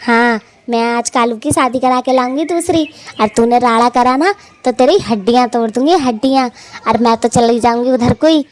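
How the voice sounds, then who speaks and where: A woman's voice: high voice, close to an everyday voice. A woman voicing a scheming, bossy older mother-in-law bird in a cartoon who threatens her daughter-in-law. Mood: threatening.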